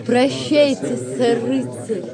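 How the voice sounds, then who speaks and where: An actress playing a gentle, lovelorn Saxon lady in an audio drama. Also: fairly high pitch, fairly even intonation, slow pace, heavy background noise. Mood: wistful.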